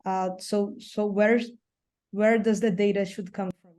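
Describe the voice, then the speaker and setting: A woman's voice: medium pitch, level tone, unhurried, a little mellow. A woman taking part in an online session, asking a careful, hesitant question. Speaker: webinar participant asking a question.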